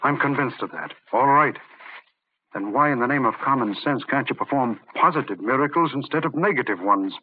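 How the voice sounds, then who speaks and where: An actor playing an intense, rational but rattled doctor in an old-time radio drama, speaking urgently and emphatically. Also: male voice, medium pitch, lively intonation, fairly quick, a little hiss or hum, close to an everyday voice. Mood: urgently excited. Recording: muffled narrow-band old radio recording.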